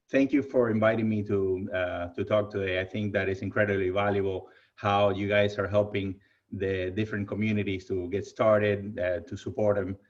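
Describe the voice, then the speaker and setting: Keynote tech speaker, male, Cuban Spanish accent, lively and friendly, lo-fi video call sound. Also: on the low side. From Cuba. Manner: enthusiastic, friendly, addresses audience as "you guys". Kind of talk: virtual presentation talk. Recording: muffled lo-fi video call audio.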